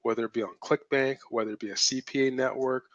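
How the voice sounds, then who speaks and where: A man's voice: medium pitch, steady tone, fast talker, a bit bright, dry recording, dull audio. A man hosting a live webinar as a business mentor, talking bluntly and assertively, repeating points for emphasis, his voice strained and cracking. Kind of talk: live-streamed webinar teaching.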